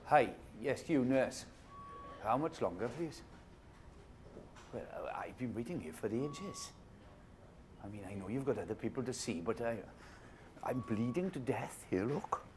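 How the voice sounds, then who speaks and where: A man's voice: medium pitch, lively intonation, unhurried, pauses often, darkish tone, a little hiss or hum, close to an everyday voice. An actor playing a cantankerous, foul-mouthed older male war veteran with a British accent, grumbling and indignant. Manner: grumbling, indignant, swears often. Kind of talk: film drama acting.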